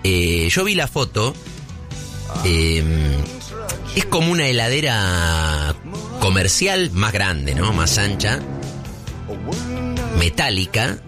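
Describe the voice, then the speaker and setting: A man in his forties with a Buenos Aires accent, delivering a casual, rambling comic monologue as if thinking out loud. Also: low-pitched, big pitch swings, slow, long pauses, over music. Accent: Rioplatense Spanish, Buenos Aires. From Buenos Aires, Argentina. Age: 40s. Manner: rambling, conversational, seeks agreement with tag questions.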